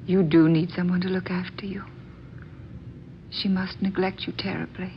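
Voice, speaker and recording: An actress playing an earnest, emotional woman in old-time radio drama style, with hesitant, breathy delivery. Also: fairly low pitch, level tone, normal speed, lightly acted. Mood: tender, anxious. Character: earnest, awestruck bereaved daughter and tender secret lover. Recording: dull, noisy old radio drama recording.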